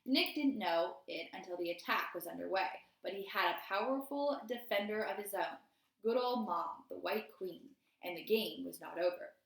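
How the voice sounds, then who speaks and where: Female storybook reader, teacherly, expressive and deliberate, mid-pitched, recorded in an echoey room. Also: vocal fry. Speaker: teacher reading a children's novel aloud. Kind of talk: storybook read-aloud narration. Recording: roomy, echoey indoor sound.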